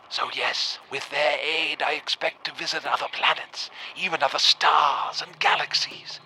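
An actor playing a feeble, sickly old farmer in a radio drama, whispering breathily and making grand, intense pronouncements. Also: male voice, fairly high pitch, bright, forceful tone, slight background noise, exaggerated voice, poor audio.

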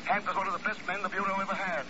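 Actor as suave high-pitched male agent, noisy radio. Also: little pitch movement, rapid-fire, lightly acted. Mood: cool, wry. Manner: wry, unruffled. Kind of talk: 1950s radio drama acting. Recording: muffled, noisy old radio recording.

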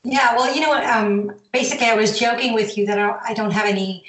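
A woman's voice: fairly high pitch, steady tone, fast talker, a bit bright. A middle-aged woman with an American accent, chatting in a meandering, casual way as an interview guest. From born in Zambia, grew up in the US from age ten. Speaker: podcast guest, company founder. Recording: echoey, roomy sound.

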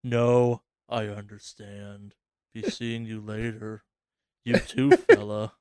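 A man voicing a creepy male stranger who murmurs low and slow. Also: lively intonation, darkish tone, clear, resonant tone, no echo, studio quality. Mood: menacing. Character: creepy male stranger luring ladies to his van.

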